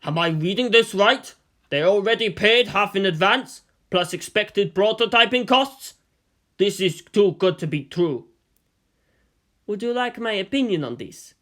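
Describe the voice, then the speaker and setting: A man's voice: high-pitched, expressive, a little slow, fairly bright tone. A man voicing an aged, shrewd premier in a story reading, formal, questioning and skeptical. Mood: incredulous. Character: aged, experienced male statesman, suspicious and shrewd premier.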